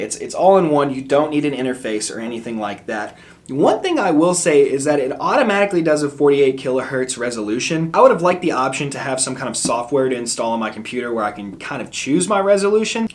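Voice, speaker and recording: A young man, a musician, casually reviewing a microphone in an upbeat, chatty way and explaining the tech terms plainly. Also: mid-range voice. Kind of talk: casual product review vlog. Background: background music.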